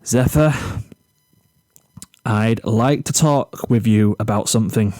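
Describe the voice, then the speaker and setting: A man reading a storybook character, an exasperated, blunt teenage boy who speaks firmly and deliberately. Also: on the low side, darkish tone, dry recording. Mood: serious, restrained. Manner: firm, emphatic, deliberate.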